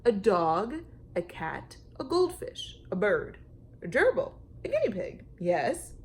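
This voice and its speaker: A woman reads to young children in an expressive storytime style. She takes her time, stressing words and pausing for effect, in a mid-pitched voice. There is slight noise behind her. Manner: animated, emphatic, with dramatic pauses and questions to young listeners. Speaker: storytime teacher reading to children. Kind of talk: expressive children's read-aloud.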